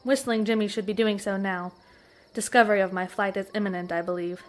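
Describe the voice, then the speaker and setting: A woman's voice: mid-range voice, fairly even intonation, a little fast, close to an everyday voice. An actress playing a composed, resourceful young frontier lady in a comedy western audio drama, with polite, proper phrasing. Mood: calm.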